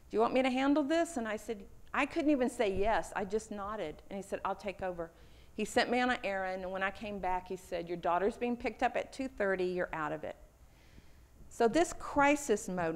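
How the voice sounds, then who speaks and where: A woman in her fifties from Houston with a Texan accent, giving a lightning talk at a conference and telling personal stories in an earnest way. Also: fairly high pitch. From Houston, Texas. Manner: earnest, personal storytelling with a few fillers. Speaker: conference speaker. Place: conference room.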